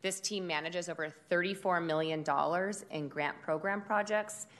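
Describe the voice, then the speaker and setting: A woman who directs a city department, giving a formal budget presentation at a public meeting in a loud, steady, measured voice. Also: fairly low pitch. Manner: measured, informative delivery with occasional fillers.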